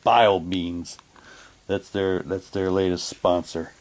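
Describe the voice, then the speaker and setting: A man doing a casual unboxing, talking in a halting way and reading packaging text aloud like a hammy radio announcer. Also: sits low, steady tone, a little hiss or hum. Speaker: vlogger unboxing a collectible audio drama CD.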